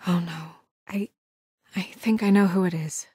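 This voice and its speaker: A woman acting in a video game as a composed, weary and stern administrator who speaks in a crisp, businesslike, quietly troubled way. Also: fairly low pitch, fairly even intonation, measured pace, no room sound, studio quality. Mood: shaken, subdued.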